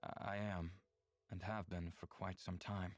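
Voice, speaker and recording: An actor playing a gentle, melancholy alien man in a visual novel, speaking in an earnest, measured, subdued way. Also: low-pitched, little pitch movement. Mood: subdued, hopeful. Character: gentle, melancholy adult male alien neighbor. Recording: music under the voice.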